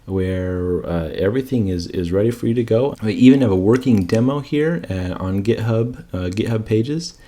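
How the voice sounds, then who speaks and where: A man's voice: fairly low pitch, expressive, moderate pace, hardly any pauses, mellow. A man giving an informal coding screencast tutorial, thinking aloud and often checking in with rhetorical questions. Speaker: presenter of a coding tutorial channel.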